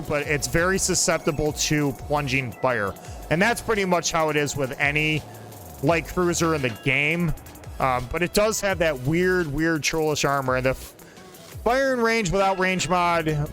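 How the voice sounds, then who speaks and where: A fairly high-pitched man in his forties talks through a match in a loose, rambling way, now and then joking with a friend. Soft game music plays faintly behind him. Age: 40s. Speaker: gaming channel host. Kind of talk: casual gameplay commentary.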